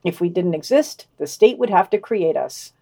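A woman's voice: lowish voice, lively intonation, normal speed, creaky, mellow, breathy edge. A woman hosting a podcast, reading scripted news summaries and quotations with dry, wry commentary.

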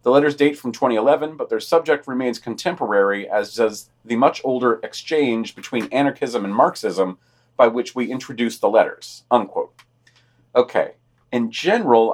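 Man reading news with dry asides. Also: mid-range voice. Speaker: podcast host reading weekly news.

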